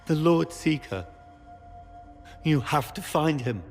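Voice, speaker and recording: A tormented young knight near death, his highish voice creaking and halting with pain. He speaks slowly and weakly, game cutscene drama in long reverb over music. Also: lively intonation. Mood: bitter. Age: young adult. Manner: halting, strained. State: pained, weak, struggling for breath. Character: dying young knight, tormented, betrayed, pleading.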